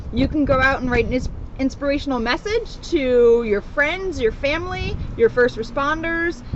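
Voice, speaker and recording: A woman with a high voice speaks casually to children and families as an officer and co-host. The recording is dull and made outdoors, with clear vehicle noise behind her. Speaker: police officer co-hosting children's reading. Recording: dull lo-fi sound, loud outdoor traffic noise.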